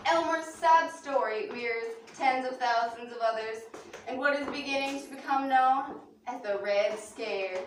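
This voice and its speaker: A woman's voice: fairly high pitch, a little hiss or hum. A woman news anchor reading a scripted mock bulletin and interviewing, in a very echoey room with the mic at a distance.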